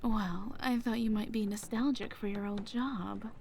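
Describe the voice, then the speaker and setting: A woman playing a sadistic, mocking henchwoman villain in an audio roleplay, taunting in drawn-out, breathy half-whispers. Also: mid-range voice, fairly even intonation, darkish tone, a little hiss or hum. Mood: smug, taunting.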